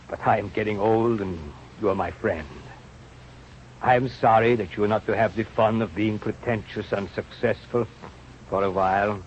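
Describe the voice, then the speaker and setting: An actor playing an old, kindly, rueful scientist, speaking in halting, formal phrases in an old radio drama. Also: male voice, fairly low pitch, natural voice. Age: older. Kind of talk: 1930s-40s radio drama acting. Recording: muffled, noisy old radio recording.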